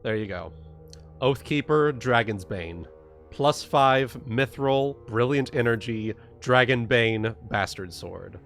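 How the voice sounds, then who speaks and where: A man narrating and explaining a tabletop game as game master, in a halting way with repeated phrases. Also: medium pitch, animated, fairly bright tone, soft background music.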